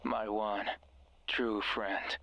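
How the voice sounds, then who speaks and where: A man's voice: lowish voice, flat delivery, drawn-out words, gravelly, bright, forceful tone, heavily acted. A man voicing a sinister, possessive radio voice in a horror game, coaxing and commanding as he manipulates the listener. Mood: soothing, possessive. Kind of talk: horror visual novel voice acting.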